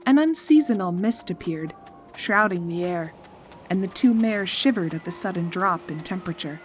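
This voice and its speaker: Lowish-voiced woman narrating a story. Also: animated, normal speed, slight background noise. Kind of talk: scripted narration of a horror fan story.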